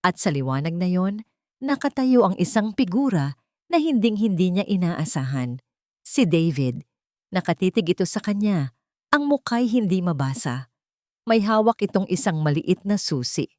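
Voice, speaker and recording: Woman, story narrator, slightly low pitch, dramatic scripted reading, very dry recording. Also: expressive, moderate pace, a little mellow, no room sound.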